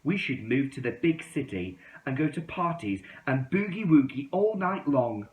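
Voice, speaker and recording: A man's voice: medium pitch, big pitch swings, moderate pace, roomy sound, voice pushed up, lightly acted, rough recording. A man voicing a bossy male badger from a children's storybook who is keen on partying.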